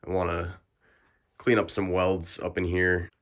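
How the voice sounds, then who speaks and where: A man's voice: low voice, measured pace. A young man getting over the flu, a little sniffly, casually walking through a bike check and talking with plenty of ums. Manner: relaxed, filler-heavy, explanatory. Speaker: bike vlogger.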